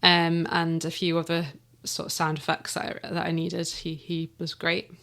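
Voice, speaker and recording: A woman's voice: on the low side, monotone, moderate pace, creaky, a bit bright. A woman with a Manx accent, chatting in a casual radio interview about her audio drama, laughing often and trailing off to avoid spoilers. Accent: Manx English. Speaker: audio drama writer and guest.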